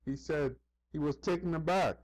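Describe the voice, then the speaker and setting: A man with a somewhat higher-pitched voice speaks in a loose, conversational monologue. He circles back over his phrases, drops in "you know" as he goes, and voices other people's remarks while telling his stories. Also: moderate pace, darkish tone. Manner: conversational, repeats himself, often says "you know". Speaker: vlogger. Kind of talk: casual rambling monologue with anecdotes.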